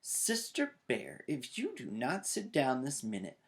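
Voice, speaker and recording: A man's voice: mid-range voice, wildly swooping pitch, measured pace, vocal fry, full character voice. A man voicing a bossy, braggy young girl bear in a storybook. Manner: bossy, emphatic.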